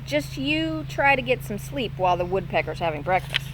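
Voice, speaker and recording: A woman's voice: a little high, expressive, normal speed, noisy background, lightly acted. A woman voicing a chatty, cheerful small male bat who talks eagerly and bounces along in a storybook reading.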